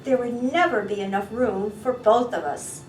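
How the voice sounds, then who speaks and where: A woman's voice: fairly high pitch, moderate pace, a little mellow, reverberant, distant mic, soft background music, natural voice, rough recording. A woman voicing a polite, apologetic rabbit in a children's storybook. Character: apologetic rabbit with a house full of cabbages.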